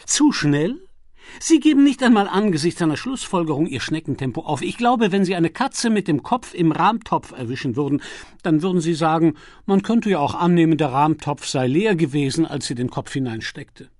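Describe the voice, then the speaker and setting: An audiobook gentleman detective played by a man, aristocratic and breezy. He teases and exclaims playfully, in a raised voice recorded very dry. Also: on the high side, animated, normal speed, no room sound, voice pushed up, lightly acted. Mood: mocking impatience. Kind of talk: audiobook character acting.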